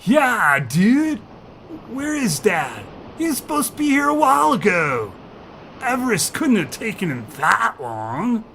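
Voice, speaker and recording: Young alien surfer bro, high voice swooping around, totally stoked slang, audio story. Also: male voice, very animated, gravelly, sharp tone, a little hiss or hum, heavily acted. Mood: impatient. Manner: exclamatory surfer slang, drawn-out emphasis. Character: excitable young alien surfer dude, slug in human guise. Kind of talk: audio fiction character acting.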